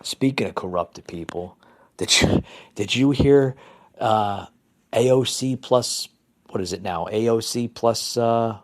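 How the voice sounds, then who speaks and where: A man's voice: on the low side, slow pace. A man from New Jersey hosting a podcast, ranting through political commentary, calling listeners "folks" and stumbling over repeated words. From New Jersey, USA. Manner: repetitive restarts, stammering repeats. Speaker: podcast host.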